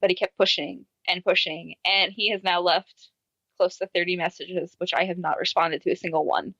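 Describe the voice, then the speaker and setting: A woman co-hosting a casual podcast, animated as she acts out quoted messages and laughs easily. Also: lowish voice, moderate pace, no room sound, muffled recording. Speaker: podcast co-host.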